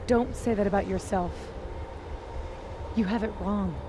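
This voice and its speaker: A woman's voice: medium pitch, fairly even intonation, measured pace, background noise, natural voice. An actress playing a wounded, defiant young woman in a video game, sardonic, bitter and profane. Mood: defiant, intense. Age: young adult. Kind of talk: naturalistic video game acting.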